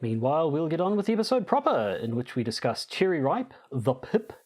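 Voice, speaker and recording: A man's voice: on the high side, big pitch swings, brisk, darkish tone. A man co-hosting a podcast in casual, bantering chat, with dry, self-deprecating humour. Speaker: podcast co-host. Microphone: lapel mic.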